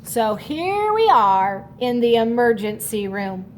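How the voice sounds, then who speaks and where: Woman, higher-pitched, very lively intonation, instructive storybook read-aloud, rumbly background noise. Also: slow pace. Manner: instructive, checks understanding with short asides. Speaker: read-aloud teacher reading a children's book.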